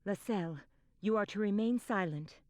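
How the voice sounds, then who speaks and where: An actress playing a stately, commanding fantasy queen in a video game, speaking in formal, measured phrases. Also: medium pitch, a little mellow, no echo, close to an everyday voice. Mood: stern. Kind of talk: scripted video game voice acting.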